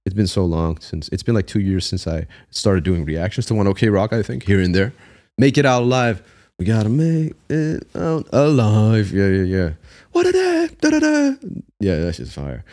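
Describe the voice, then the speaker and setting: A man in his thirties reacting to a live concert on his stream, talking casually with slang and bursts of excitement, recorded on a studio mic in a small room. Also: on the low side, moderate pace. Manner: excitable, slangy, breaks into laughs and hums. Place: small room with blue lights, gaming chair. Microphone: studio mic on arm, headphones.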